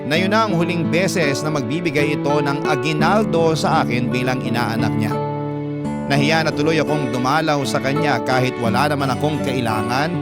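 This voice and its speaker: A man with a Filipino accent reading a personal story as scripted, dramatic radio narration. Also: medium pitch. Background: loud plucked guitar music.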